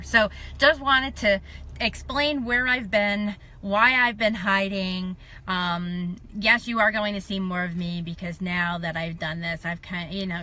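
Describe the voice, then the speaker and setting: A woman's voice: mid-range voice, sharp tone, a little hiss or hum. A middle-aged woman with a slight lisp, chatting casually and self-deprecatingly on a live stream. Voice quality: slight lisp from a dental appliance.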